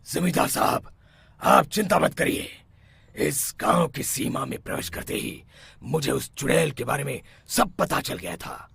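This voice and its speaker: A man voicing a confident male exorcist in a cartoon, calm and authoritative, sometimes low and hushed. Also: unhurried, gravelly, slightly rough, dry recording, exaggerated voice. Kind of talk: cartoon voice acting.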